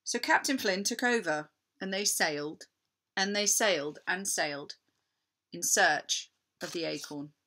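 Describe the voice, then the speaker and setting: A woman narrating a children's story in an expressive, deliberate, dramatic storytelling manner. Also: on the low side. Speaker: storybook reader.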